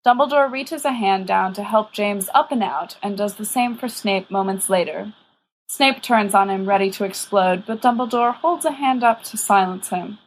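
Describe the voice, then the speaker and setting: A woman's voice: medium pitch, normal speed, a little hiss or hum, low-quality recording. A woman reading a story aloud as scripted audiobook narration. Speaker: audiobook reader.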